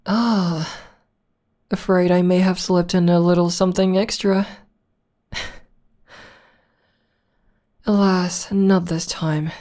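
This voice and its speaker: A woman's voice: lowish voice, warm tone. A woman playing a theatrical female supervillain in an ASMR roleplay, arch and teasing, coaxing and tender with a beloved enemy. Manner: arch, unhurried, coaxing, mock-grand.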